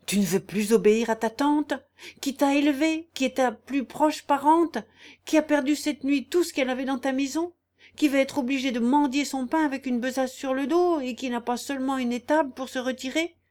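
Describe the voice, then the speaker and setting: A woman voicing a self-pitying, shrewish peasant aunt in a story, loud, indignant and wailing. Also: high voice, expressive, measured pace, voice pushed up. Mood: indignant scolding.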